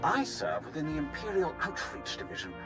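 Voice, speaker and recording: A grandiose, fawning imperial lord for a video game. His voice sits high and swoops with animated pitch. He speaks in flowery, formal phrases over loud music. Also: brisk. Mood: flattering. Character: obsequious, grandiose male imperial propaganda lord.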